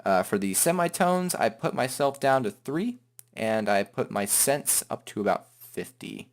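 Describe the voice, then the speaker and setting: A man hosting a software tutorial in an informal, joking, self-deprecating way. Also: mid-range voice, sing-song, unhurried. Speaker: tutorial host. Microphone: headset microphone.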